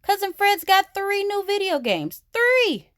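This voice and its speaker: A woman voicing a whiny, excitable young boy bear cub in a storybook, exclaiming and complaining. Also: very high-pitched, measured pace, no echo, studio quality, voice pushed up, full character voice. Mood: boastful complaining.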